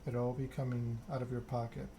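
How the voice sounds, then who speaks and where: A man reading a story aloud in an ASMR narration style, measured and unhurried. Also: mid-range voice, level tone, dark tone, soft background music. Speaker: video game streamer reading game text aloud. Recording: noticeable background noise.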